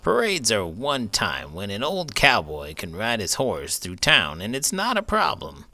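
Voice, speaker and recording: Slow, sharp-edged old cowboy. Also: male voice, on the low side, wildly swooping pitch, exaggerated voice. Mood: opinionated, enthusiastic. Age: older. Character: gruff food-loving old cowboy puppet sidekick. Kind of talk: comic banter on a children's read-aloud show.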